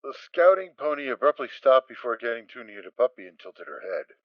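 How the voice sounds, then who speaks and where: A man's voice: mid-range voice, sing-song, brisk, no room sound, muffled recording. A man narrating a dramatic story as a scripted reading.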